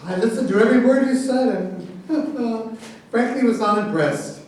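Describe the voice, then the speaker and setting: In a very echoey room, away from the microphone, a man plays a scornful academic rival in a staged reading. The voice is high for a man, dismissive and sardonic, arguing point by point. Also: a little slow. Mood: scornful, argumentative. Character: scornful, argumentative debunker in a mock academic dispute. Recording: very echoey room, far from mic.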